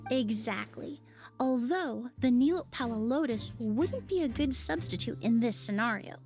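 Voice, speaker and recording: Clever young female sleuth, high voice, measured, precise, matter-of-fact, video game dialogue, music behind. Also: animated, a little mellow. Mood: composed, explanatory. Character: composed, clever young woman detective-perfumer explaining a case.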